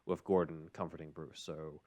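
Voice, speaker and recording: A man hosting a podcast, casually recapping and commenting on a script in a measured, explanatory way. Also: very deep voice, level tone, dark tone, dry recording. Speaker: podcast host.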